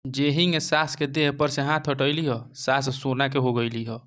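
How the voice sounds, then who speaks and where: A man's voice: medium pitch, level tone, moderate pace, fairly bright tone. Male narrator for a moral tale, Bhojpuri accent, clean resonant mid-range voice. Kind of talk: scripted moral-story narration.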